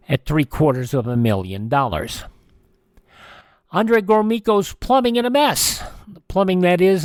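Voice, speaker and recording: An American man hosts a radio program, narrating short news items from a script. His voice sits somewhat high for a man, and the sound is dry with little room around it. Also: no echo. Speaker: radio show host reading old newspaper stories.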